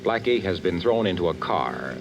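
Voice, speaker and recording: A man's voice: deep voice, lively intonation, moderate pace, vocal fry, noisy background. A man announcing in scripted 1940s radio style, with a folksy salesman's patter. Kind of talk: 1940s scripted sponsor narration. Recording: dull vintage radio recording.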